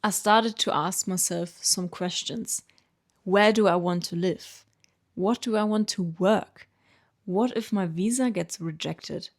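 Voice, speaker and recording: A German woman in her late twenties, a native German speaker with a German accent, calmly telling her own story in an earnest, reflective vlog. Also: mid-range voice, slow. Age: late 20s. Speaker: vlogger. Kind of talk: reflective personal narration.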